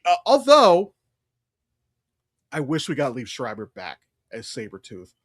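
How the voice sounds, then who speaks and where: A man's voice: a little high, wildly swooping pitch, measured pace, no room sound, studio quality. A man co-hosting a podcast, chatting casually and giving blunt, emphatic, profanity-laced opinions on films. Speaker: podcast co-host. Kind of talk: casual opinionated film chat.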